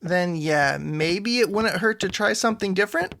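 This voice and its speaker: A man's voice: high voice, normal speed, vocal fry, pushed and bright, heavily acted. A man playing an earnest, awkward, chatty sidekick hero in an audio drama. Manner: chatty, earnest, self-effacing.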